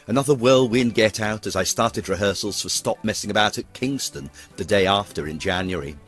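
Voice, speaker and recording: A man's voice: on the low side, a bit bright. A middle-aged British man giving a scripted, fond and theatrical account of a life on stage, with ornate phrasing. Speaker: pantomime performer narrating a career retrospective. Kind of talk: scripted autobiographical narration.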